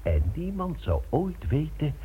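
Radio drama schemer: rough-voiced, insistent salesman of crime, highly animated, thin band-limited recording. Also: measured pace, narrow, tinny audio, slight background noise. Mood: persuasive, confident. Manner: insistent, persuasive, rhetorical. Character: eager, scheming male security-firm employee pitching a heist. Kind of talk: Dutch radio play acting.

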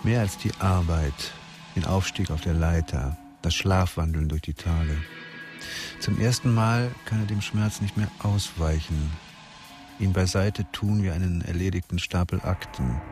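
Low-voiced male radio drama narrator. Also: a little slow, music in the background.

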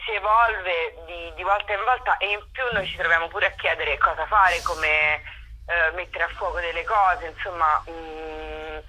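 A woman in a casual interview, speaking in a rambling, halting way with self-deprecating irony, as a comic performer. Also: on the low side, steady tone, pushed and bright, rough recording. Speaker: comic actress and writer, interview guest.

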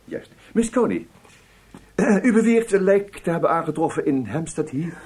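An actor playing a courteous, quietly sly government investigator in a radio play, with a husky voice and a hesitant, musing way of questioning. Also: male voice, fairly high pitch, lively intonation, normal speed. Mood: courteous, probing. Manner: hesitant, polite, musing, repeats himself. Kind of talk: Dutch radio drama acting.

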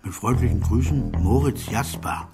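A man acting in a radio drama as a formal character reading a curt business letter in a measured way. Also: medium pitch, over loud music, natural voice.

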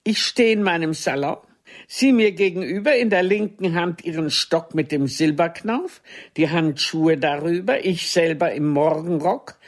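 An actress in a radio drama playing a haughty, mocking former lover, theatrical and cutting in formal speech. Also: female voice, fairly low pitch, measured pace.

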